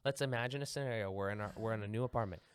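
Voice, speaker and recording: A man's voice: fairly low pitch, very fast, smooth, dry recording, clean studio sound. A young man chatting casually as a podcast co-host, reasoning aloud and restarting his points as he argues them. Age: young adult. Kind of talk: casual chat.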